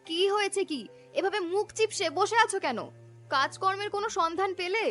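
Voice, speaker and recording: A woman voicing a practical, questioning village wife in a cartoon, with a brisk, nagging manner. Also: very high-pitched, bright, forceful tone, soft background music. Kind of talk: cartoon voice acting.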